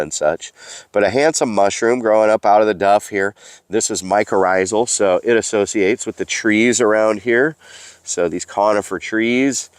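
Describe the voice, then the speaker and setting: A man's voice: fairly low pitch, smooth, no echo. A man with a stuffy nose, a mycology educator and host, chatting outdoors in a forest as he explains what he finds, with frequent fillers. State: stuffy from allergies. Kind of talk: casual outdoor teaching vlog.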